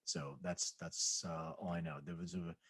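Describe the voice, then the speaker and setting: Philadelphia man co-hosting a podcast, somewhat low voice, relaxed anecdotes that fade out. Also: normal speed, husky. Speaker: podcast co-host. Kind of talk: casual podcast chat.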